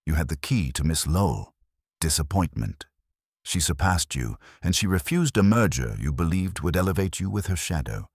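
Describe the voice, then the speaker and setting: A man's voice: extremely low pitch, very animated, moderate pace, slightly rough, no echo, studio quality, heavily acted. A man voicing an imperious, exacting master detective in an audiobook, formal, pedantic and coldly accusing.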